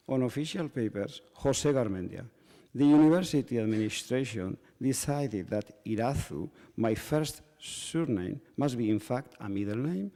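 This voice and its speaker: A man from Spain, Basque by first language, giving measured, dryly humorous panel remarks in English. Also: medium pitch, mellow. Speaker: panel author. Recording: reverberant hall.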